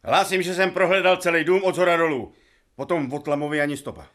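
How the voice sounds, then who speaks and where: An actor playing a deferential, eager servant in a Czech radio drama, reporting obsequiously. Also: male voice, on the high side, a bit bright, lightly acted.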